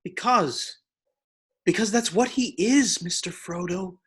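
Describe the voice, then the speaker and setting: A man's voice: high-pitched, slow, a bit bright, breathy edge, full character voice. An actor playing a loyal, protective male hobbit who talks bluntly and earnestly. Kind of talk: film acting.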